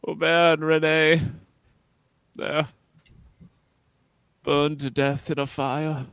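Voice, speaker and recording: A man playing a dignified, ageing, reserved starship captain with measured, formal delivery in a sci-fi radio theatre read. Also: a little high, flat delivery, fairly bright tone, clear, resonant tone, no room sound. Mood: grieving.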